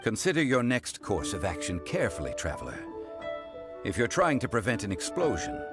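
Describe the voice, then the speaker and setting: A man playing a composed, erudite gentleman in a video game, speaking formally, thoughtfully and deliberately. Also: on the low side, huge pitch swings, loud music behind the voice. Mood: grave counsel. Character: composed, erudite gentleman consultant, grave and measured. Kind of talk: video game character acting.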